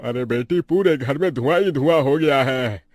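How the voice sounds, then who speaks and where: Man as a poor labourer dad, worried, exhorting, mid-pitched, dry close recording, cartoon moral tale. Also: normal speed, a little mellow, no echo, natural voice. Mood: fretful. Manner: fretful, exhorting. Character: Devesh, poor worried labourer father, bossy but caring. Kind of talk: Hindi animated moral story acting.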